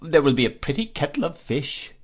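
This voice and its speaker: A man voicing a meditative, simple-hearted older gentleman in an audiobook, speaking in an eager hurry that trails off. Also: a little high, big pitch swings, husky, voice pushed up. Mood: earnest. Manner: hurried, then trailing off.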